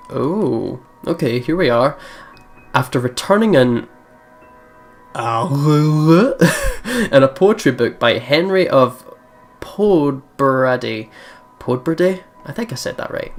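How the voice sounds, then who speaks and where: A man reading a visual novel aloud and reacting in a playful, chatty way, often repeating words. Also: mid-range voice. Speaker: let's player reading and reacting. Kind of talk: playful let's play commentary and read-aloud narration. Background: game music.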